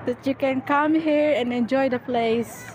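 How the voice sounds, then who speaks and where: A woman from the Philippines with a Filipino accent, a native Tagalog speaker, chatting casually as a live-stream host in an effusively thankful, halting way. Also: high voice, fairly even intonation, darkish tone. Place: outdoors at a scenic spot. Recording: noisy outdoor live stream, traffic ambience.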